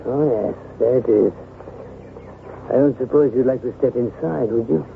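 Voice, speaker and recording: A man's voice: medium pitch, moderate pace, gravelly, narrow, tinny audio, lightly acted. Male actor as foppish genteel man, arch, drawling, insinuating, radio drama, thin noisy vintage broadcast. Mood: fretful, genteel. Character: languid, foppish, slyly insinuating gentleman friend. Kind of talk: old-time radio drama acting.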